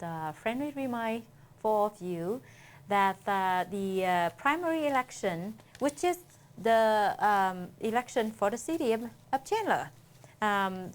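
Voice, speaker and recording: A woman hosts a community interview and gives announcements, speaking haltingly with repeated words. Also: medium pitch. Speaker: interviewer and host.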